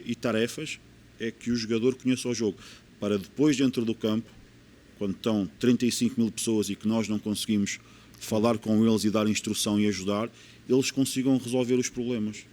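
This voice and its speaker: Portuguese coach explaining tactics earnestly. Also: male voice, medium pitch, steady tone, fairly quick, darkish tone. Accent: European Portuguese. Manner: earnest, explanatory, repeats key phrases for emphasis. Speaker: football coach. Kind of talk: podcast interview.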